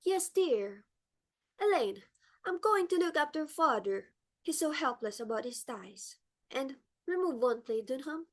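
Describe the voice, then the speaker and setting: Society hostess played by a woman, middle-aged, high voice, determined, fussy, exclamatory stage-play delivery. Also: animated, a little slow. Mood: brisk, bossy. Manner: dramatic, bossy, exclamatory.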